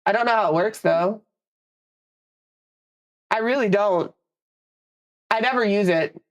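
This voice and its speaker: A woman's voice: mid-range voice, a little slow, muffled recording. Congested, nasal young woman streamer. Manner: chatty, rambling, laughs easily. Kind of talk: casual live-stream chat.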